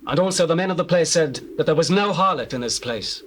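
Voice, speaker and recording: Guy playing puzzled go-between in a bible drama, highish theatrical voice, bit of hiss. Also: lively intonation, a little fast, lightly acted. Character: Judah's friend, puzzled biblical errand-runner. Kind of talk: scripture dramatization, theatrical acting. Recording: hissy old cassette tape, birdsong behind.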